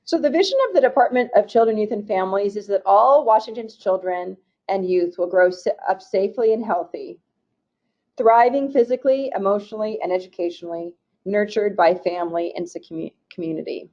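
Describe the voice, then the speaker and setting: A woman from a state agency presenting slides in a webinar, reading through program goals in a steady, formal way with occasional restarts. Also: medium pitch, fairly quick. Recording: muffled, dull webinar audio.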